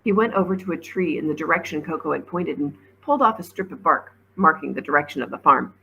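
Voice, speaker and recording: A woman reading a children's novel aloud as an expressive storybook narrator, like a teacher reading to a class. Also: sits low, quick pace, creaky, dull audio, slight background noise.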